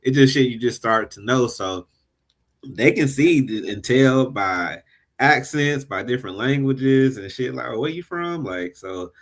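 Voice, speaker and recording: A man's voice: medium pitch, animated, a little slow. A man talking casually on a discussion panel, loose and slangy.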